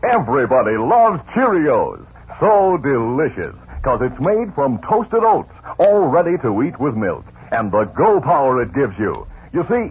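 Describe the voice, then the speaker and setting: A man's voice: fairly high pitch, a little hiss or hum. A man announcing in scripted 1950s radio commercial style. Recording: muffled old radio broadcast.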